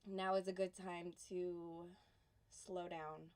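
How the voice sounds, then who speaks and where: A woman from Houston giving a casual tarot reading, soft and unhurried, pausing often, a little sniffly from allergies. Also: fairly low pitch, level tone, slight background noise. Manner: soft, unhurried, reflective delivery with pauses. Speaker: tarot reader.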